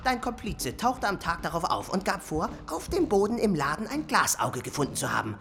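A woman's voice: fairly low pitch, sing-song, fairly quick, fairly bright tone. An actress playing a brash, greedy lawyer in a German radio drama, hectoring and sarcastic as she cuts in. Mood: brisk, accusing. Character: brash, greedy, bullying court-appointed lawyer.

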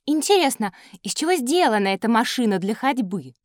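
An inquisitive young girl in a children's audio drama. Her voice is high and swings expressively up and down. She sounds observant and polite, like a keen pupil. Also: big pitch swings, a little fast, dry recording. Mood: curious.

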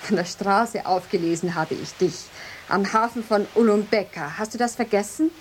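An actress in a German radio drama playing a haughty, jealous lighthouse mistress, speaking in an imperious, formal and mocking way. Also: female voice, mid-range voice, normal speed, a little hiss or hum, natural voice. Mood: gracious, needling.

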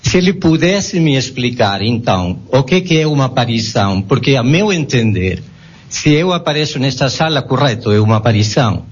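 A man's voice: mid-range voice, lively intonation, fairly quick, husky. A man speaking Portuguese as a non-native, asking a careful and perplexed question from the audience at a public debate. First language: not Portuguese. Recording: dull lo-fi recording.

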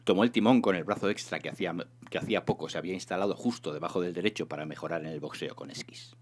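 A man reading a humorous science fiction novel aloud in an expressive, theatrical narration. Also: deep voice, racing. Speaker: reader of a serialized humorous sci-fi novel.

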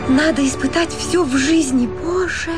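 An actress playing a dreamy, enraptured young married woman in a radio drama, with halting, theatrical delivery. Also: sits high, close to an everyday voice. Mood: agitated, thrilled. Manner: breathy pauses, theatrical, halting and rapturous delivery. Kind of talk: Russian radio drama acting. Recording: music bed under the voice.

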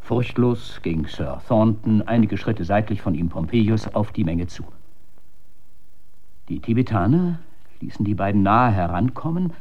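A man's voice: lowish voice, lively intonation, measured pace. Male narrator, slightly dull radio recording. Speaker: narrator reading a story. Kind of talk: scripted literary narration, radio storytelling.

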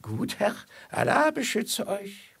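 An actor playing a shrewd, fatherly slave trader in a German radio play, with wheedling salesman patter that drops into muttered confidences and a husky voice. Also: high-pitched, huge pitch swings, takes it slowly, a bit bright, heavily acted. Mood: subdued.

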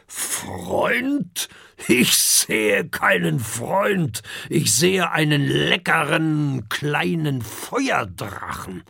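A storybook narrator voicing a big, gluttonous, grumpy male dragon father with a hoarse, growling, blustering voice. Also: mid-range voice, very animated, extremely slow, fairly bright tone, dry recording, clean studio sound, pitched above the performer's own voice, full character voice. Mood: grumbling.

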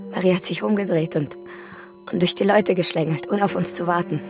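An actress in a radio drama playing a grieving woman who survived a bombing, telling it in a quiet, halting, hushed way. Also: on the low side, creaky. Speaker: woman recounting a wartime air raid.

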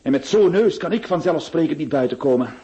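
An actor playing a pompous, self-pitying notary with a theatrical, moralizing delivery in a radio play. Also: male voice, a little high, lively intonation, brisk, dark tone, dull audio, close to an everyday voice. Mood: lamenting. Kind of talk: radio drama acting.

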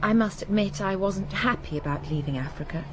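An actress playing a composed, affectionate wife in a radio drama. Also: female voice, medium pitch, brisk, background noise, lightly acted. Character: composed, affectionate, loyal young English wife.

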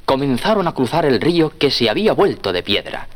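Animated male children's story narrator. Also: medium pitch, brisk.

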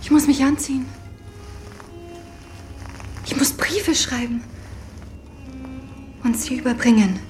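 Radio drama: fragile, shaken young wife, very slow, dark-toned, half whispering. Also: female voice, high-pitched, faint music behind. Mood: dazed urgency. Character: distraught young wife, dazed, singing to herself, fragile.

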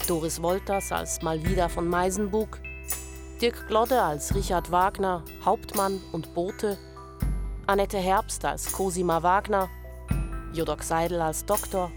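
A woman reading scripted radio drama credits as an announcer. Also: lowish voice. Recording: music behind the voice.

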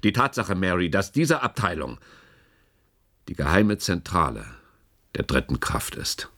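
An actor playing a calculating, grave older department chief in a German radio play, speaking with deliberate, persuasive rhetoric and a gravelly, husky voice. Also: male voice, low voice, very animated, pushed and bright, exaggerated voice.

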